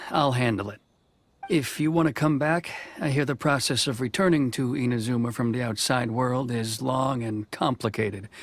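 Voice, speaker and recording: An actor voicing a stern young male official in a video game, speaking in a formal, measured, reserved way. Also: mid-range voice, gravelly. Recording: game soundtrack music faint behind.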